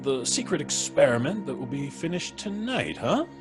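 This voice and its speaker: An actor playing a genial, teasing professor in a theatrical old-time radio style, with wordy, avuncular delivery. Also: male voice, mid-range voice, big pitch swings, moderate pace, natural voice. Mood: amused. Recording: music under the voice.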